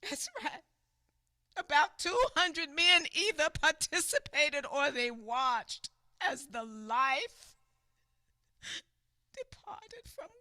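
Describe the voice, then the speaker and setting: A woman playing a grieving eyewitness in a radio theater monologue, telling her story haltingly and repeating phrases for emphasis. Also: high voice, pushed and bright, no echo, heavily acted. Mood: anguished, near tears. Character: grieving female eyewitness recounting a mob lynching in anguish.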